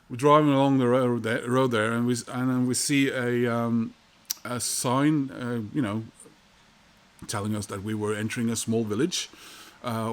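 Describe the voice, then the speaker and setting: Rambling Norwegian man telling a story. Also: medium pitch. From Norway. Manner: rambling, halting, many fillers and restarts. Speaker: vinyl collector vlogger. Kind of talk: casual storytelling monologue.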